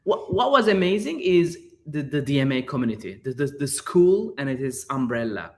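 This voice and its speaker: A man's voice: mid-range voice, sing-song, moderate pace, a little mellow. A man in his thirties from Turkey with a Turkish accent, answering interview questions in a searching way, with frequent fillers and repeated words. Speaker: guest artist.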